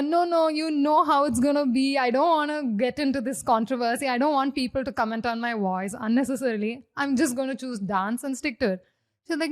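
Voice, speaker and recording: A husky young woman from South India, a guest in a casual interview, speaks in a somewhat high voice. She tells her stories with energy and slips into the voices of the people she quotes. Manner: animated storyteller, acts out quoted dialogue. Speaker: guest singer.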